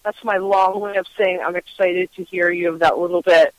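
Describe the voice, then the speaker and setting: A woman's voice: on the low side, steady tone, fairly quick, dry recording, lo-fi sound. Enthusiastic chatty woman host, dry sound. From Western Massachusetts. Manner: enthusiastic, laughs often, rambling. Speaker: podcast host. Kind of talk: casual interview chat.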